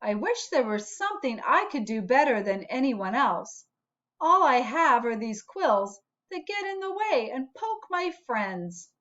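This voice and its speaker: A woman voicing a young female hedgehog in a storybook, gentle and earnest, with a plaintive, self-doubting manner. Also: high-pitched, very animated, unhurried, dull audio, full character voice. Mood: wistful.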